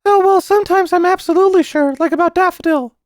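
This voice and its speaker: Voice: extremely high pitch, fast, no room sound, clean studio sound, voice pushed up. A narrator voicing an eager, nervous young boy, innocent and earnest, in a storybook reading. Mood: earnest, uneasy. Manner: nervous, insistent.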